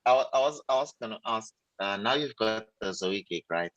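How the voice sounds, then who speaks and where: Man, co-host, low voice, hesitant questions. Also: animated, fairly quick, fairly bright tone, dull audio. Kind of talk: casual interview chat.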